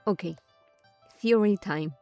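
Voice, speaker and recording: A woman doing casual game commentary, thinking aloud haltingly and breaking into exclamations. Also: medium pitch, a little mellow, no room sound, faint music behind. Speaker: streamer playing a game.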